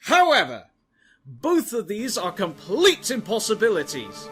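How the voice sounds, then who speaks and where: A man acting as an earnest game defense lawyer who accuses theatrically. His fairly high voice swings wildly and takes its time, over clear background music. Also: huge pitch swings, a little slow, exaggerated voice. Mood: explaining firmly. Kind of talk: anime game fandub courtroom acting.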